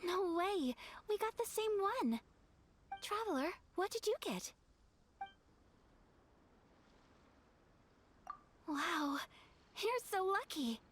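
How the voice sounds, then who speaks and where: A woman playing a polite, gentle young noblewoman in a video game, earnest and formal. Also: very high-pitched, sing-song, a little slow, slight background noise, full character voice. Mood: delighted.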